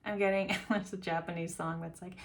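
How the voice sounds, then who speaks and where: A woman's voice: fairly low pitch, fairly even intonation, a little fast. A woman giving an intuitive reading straight to camera, meandering and chatty, musing aloud as images come to her. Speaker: tarot reader.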